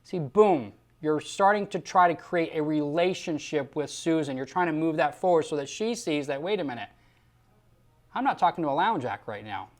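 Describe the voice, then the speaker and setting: A man's voice: fairly high pitch, animated, normal speed. Emphatic male sales coach. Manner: emphatic, rhetorical, coaching delivery. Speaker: Medicare sales trainer and host. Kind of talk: instructional commentary on a sales call, acting out example lines.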